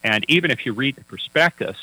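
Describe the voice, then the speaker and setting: A man's voice: mid-range voice, expressive, fast, sharp tone, breathy edge, rough recording. A man working as a financial advisor, chatting on a radio talk show in a folksy, anecdotal way with many fillers and restarts.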